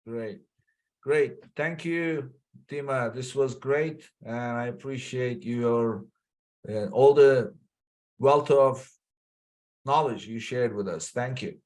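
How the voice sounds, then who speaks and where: Measured male podcast host. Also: mid-range voice, a little mellow. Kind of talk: interview hosting.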